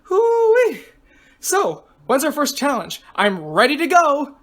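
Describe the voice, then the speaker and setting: An exuberant teenage girl from a story about a reality show, acted out by a woman reader. The voice sits high and bursts out loudly, gushing and exclaiming with excitable energy. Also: slow, heavily acted. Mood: excited.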